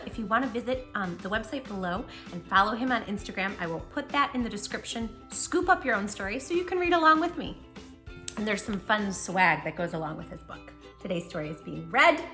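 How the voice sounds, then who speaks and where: A woman presents a read-aloud for small children in a bright voice with lively, swooping pitch. She addresses the child directly and playfully, leaning on words for emphasis like a practiced storyteller.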